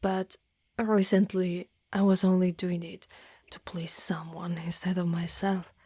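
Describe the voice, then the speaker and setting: A woman voicing a devoted, lovestruck female dragon knight in human form, in an intimate, half-whispered ASMR roleplay with formal, halting phrasing. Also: on the low side, flat delivery, dark tone, husky, no room sound, clean studio sound. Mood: adoring, confessional. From Italy. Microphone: close microphone.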